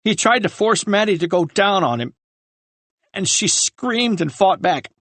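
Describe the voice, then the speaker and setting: Guy reading as a wrecked young dude confessing, voice kinda high, halting, slow. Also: animated, fairly bright tone, no echo, full character voice. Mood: haunted. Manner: halting, breaking with remorse. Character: young man confessing, guilt-ridden, tearful. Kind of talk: audiobook character reading.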